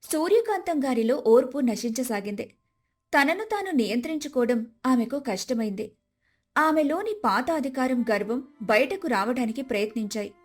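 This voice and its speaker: A woman narrates a scripted family moral story. Her voice sits high, and music plays faintly behind her. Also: animated, normal speed. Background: background music.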